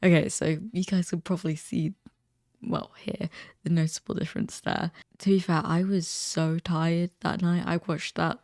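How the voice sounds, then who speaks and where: A teenage man with a crackly, recently deepened voice, chatting casually and ramblingly as a vlogger. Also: level tone, moderate pace, creaky, a little mellow, no echo, clean studio sound. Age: teens. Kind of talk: casual chat to camera.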